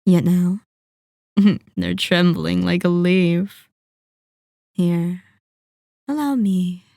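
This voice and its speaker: A woman's voice: on the low side, very slow, gravelly, dark tone, dry recording, studio quality, exaggerated voice. A woman voicing a possessive, sadistic vampire in an ASMR roleplay, with a silky, teasing, mock-polite menace. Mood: mocking sweetness. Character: sadistic, possessive female vampire lord toying with captive hunter.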